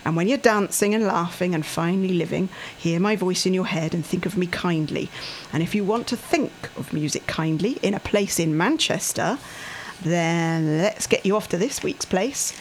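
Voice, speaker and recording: A woman's voice: on the low side, normal speed, slight background noise, poor audio. Chatty woman co-host. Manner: chatty, laughs often, reads out listings. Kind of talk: casual radio chat.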